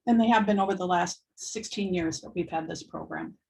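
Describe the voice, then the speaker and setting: A middle-aged woman, an education professor, gives an informal, chatty presentation to prospective students, laughing often and filling pauses with "um". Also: on the low side, creaky, low-quality recording. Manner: animated and chatty, laughs often, many ums.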